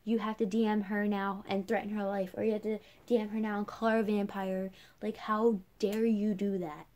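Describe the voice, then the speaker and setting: A woman's voice: mid-range voice, little pitch movement, moderate pace, a little mellow. Young woman rambling casually, chatty vlogger. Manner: chatty, rambling, heavy use of "like". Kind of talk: casual personal rant to camera.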